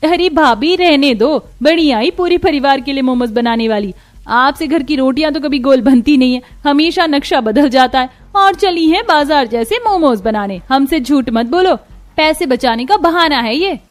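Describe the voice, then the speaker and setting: A woman's voice: high voice, lively intonation, moderate pace. A woman voicing a mocking, lazy young sister-in-law with a taunting manner in a cartoon story. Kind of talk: Hindi cartoon story acting.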